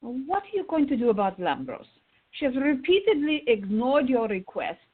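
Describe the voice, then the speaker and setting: A woman playing an exasperated, bossy older friend who scolds loyally, with a husky voice, in a radio drama. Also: high voice, very animated, normal speed, narrow, tinny audio, full character voice. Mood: urging, indignant. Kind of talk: radio theater acting.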